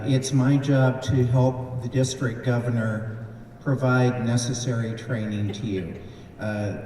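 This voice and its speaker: A man with a mellow voice calmly explains training resources at a district event. He is heard from far off, with a long hall reverb and faint noise. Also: mid-range voice. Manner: plain and matter-of-fact. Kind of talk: brief announcement.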